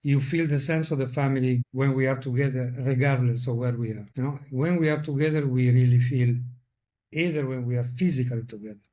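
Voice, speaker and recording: A middle-aged man from Italy, whose first language is Italian, speaks English with an Italian accent as an interview guest. He talks in a thoughtful, measured way and searches for words. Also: mid-range voice, fairly even intonation, dark tone. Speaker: guest, veterinarian. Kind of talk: reflective interview talk.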